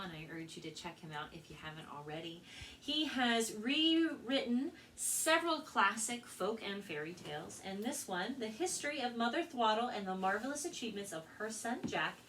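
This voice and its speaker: An American woman working as a children's librarian, telling stories and presenting to kids in an expressive, carefully spoken way. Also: mid-range voice, moderate pace. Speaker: children's librarian and storytime host. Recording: distant mic with faint background noise.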